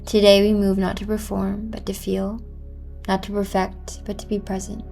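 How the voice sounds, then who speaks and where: A woman teaching a yoga class, guiding movement and breath in a gentle, encouraging manner over music. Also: medium pitch, monotone, moderate pace, creaky. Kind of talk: guided yoga class with meditative cues.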